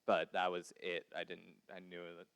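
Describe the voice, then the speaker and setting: Low-voiced guy, slow and hesitant, dry close sound. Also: monotone, creaky, fairly bright tone, clean tone, dry recording. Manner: hesitant, lots of ums. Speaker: guest. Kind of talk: casual interview chat.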